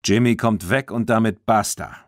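A man's voice: fairly low pitch, normal speed, no room sound, studio quality, natural voice. A man voicing a stern, angry old grandfather in an audiobook, curt and commanding.

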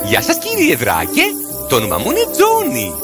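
A man voicing a cheerful, brave, curious little boy in an animated fairy tale. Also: mid-range voice, over music. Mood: eager.

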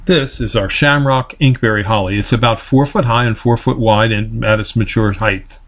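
A man narrating a voice-over, reading plant descriptions from a script in an even manner. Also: on the low side, moderate pace. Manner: even, read-aloud delivery.

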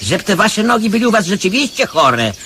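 An actor playing a crafty, grumbling blind beggar in a radio play, with rustic eastern Polish borderland speech and a theatrical alms-seeking chant. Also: male voice, sits high, big pitch swings, brisk, sharp tone, slight background noise, full character voice. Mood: grudging.

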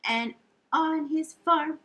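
High-pitched woman leading children's storytime. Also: slow. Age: middle-aged. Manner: animated, encouraging, addressing children directly. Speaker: children's library storytime host. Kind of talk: sing-along storytime for young children. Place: indoor lounge with couch and fireplace.

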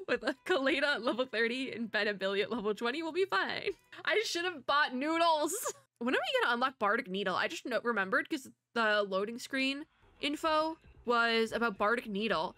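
A young woman rambles cheerfully while she plays, her voice bright and clear. Her nose sounds stuffy, and she talks in a loose, giggly way into a desk mic. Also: fairly high pitch, big pitch swings, moderate pace, dry recording. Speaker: streamer. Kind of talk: casual gameplay commentary.

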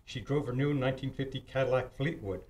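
A man acts out a cocksure homicide detective from a 1950 radio mystery. He has a mid-pitched voice and a clipped, smug, wisecracking way of talking. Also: quick pace, a little mellow, breathy edge, lightly acted. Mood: matter-of-fact. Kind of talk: old-time radio acting. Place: theatre stage, live performance. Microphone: stand mic on stage.

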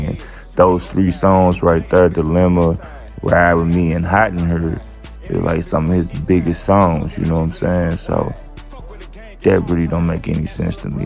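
A man's voice: sits low, vocal fry, a little mellow. A man hosting his own channel, reading a news article aloud and then giving his casual, drawling opinion with lots of fillers. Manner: laid-back, drawling, with many fillers. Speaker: channel host.

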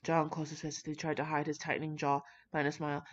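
A woman's voice: sits low, little pitch movement, fast talker, highs cut off. A young woman reading fan fiction aloud, with brash, slangy, profane casual commentary.

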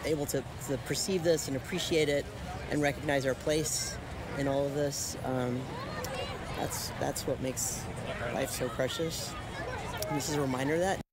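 A man speaking reflectively and haltingly in an outdoor interview. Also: medium pitch, fairly even intonation. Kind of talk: interview soundbite. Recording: noisy outdoor crowd.

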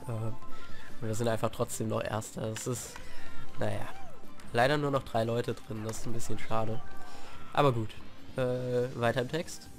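A man's voice: medium pitch, steady tone, measured pace, long pauses. Young man with a cold, gaming commentary. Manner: chatty, with sudden shouted outbursts at the game. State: has a cold, clears throat. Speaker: let's play host. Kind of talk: gameplay commentary. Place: living room at home, on a sofa. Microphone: desk mic propped on games on the sofa. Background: faint game music.

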